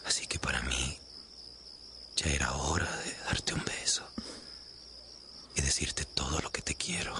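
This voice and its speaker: An actor playing an ardent man madly in love, breathy and intimate, in a romantic radio drama. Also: extremely low pitch, fairly even intonation, unhurried, long pauses, in a whisper.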